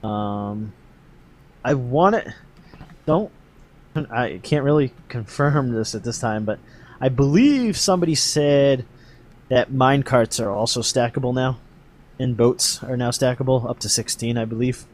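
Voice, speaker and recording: A man hosting a podcast, casually explaining game update news in a halting, hedging way. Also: mid-range voice, animated, a little mellow.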